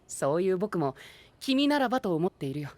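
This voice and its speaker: A man's voice: high voice, sing-song, a little fast. An actor playing a suave, flamboyant, princely young male knight who drops English exclamations, in an anime-style voice drama. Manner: theatrical, sprinkles English exclamations into speech.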